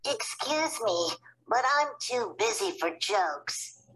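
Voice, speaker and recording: A woman's voice: mid-range voice, lively intonation, slow, fairly bright tone, pitched above the performer's own voice, poor audio. A woman voicing a cheeky, playful young boy in a storybook reading.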